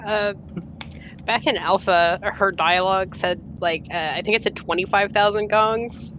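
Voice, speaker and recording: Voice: mid-range voice, fairly even intonation, a little slow, a bit bright, a little hiss or hum. A game streamer giving casual commentary in offhand, joking asides.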